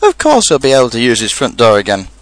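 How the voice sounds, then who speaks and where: Kind storybook lad male reader, fond, sensible, sure of himself, expressive intonation. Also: mid-range voice, quick pace, dry recording. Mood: reassuring. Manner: affectionate and assured. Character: kindly, sensible, fond young boy. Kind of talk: storybook character reading.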